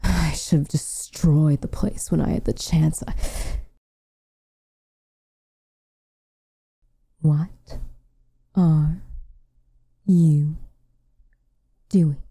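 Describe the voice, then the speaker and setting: Woman doing a proud protective witch for asmr, very dark mellow voice, slow n formal, slips into whispers. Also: sits low, steady tone, no room sound, full character voice. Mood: shocked, indignant. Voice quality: soft, breathy, often dropping to a whisper. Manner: formal, measured, dramatic delivery. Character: protective, proud, frustrated witch, tender toward her lover. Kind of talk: ASMR roleplay acting.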